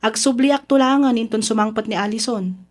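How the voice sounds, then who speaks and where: A woman's voice: a little high, fairly quick, natural voice. A woman playing a polite, tentative young visitor in a Filipino radio drama. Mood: polite, apologetic. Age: young adult.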